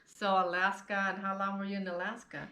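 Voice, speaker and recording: Rapid-talking woman in a roomy space. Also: medium pitch, flat delivery, bright, forceful tone. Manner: enthusiastic, reacts with amazement. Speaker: interviewer and host. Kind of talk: informal interview of a relative. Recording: roomy, echoey sound.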